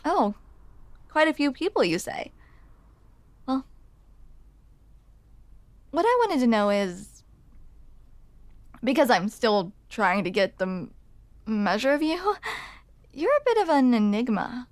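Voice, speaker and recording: A woman's voice: a little high, big pitch swings, slow, long pauses, dry recording. A woman playing a grumpy but smitten barista in an intimate ASMR roleplay, hesitant, teasing and self-deprecating, close to the microphone. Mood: wry, softly explaining. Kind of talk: ASMR roleplay, intimate one-sided conversation. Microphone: close microphone, dry.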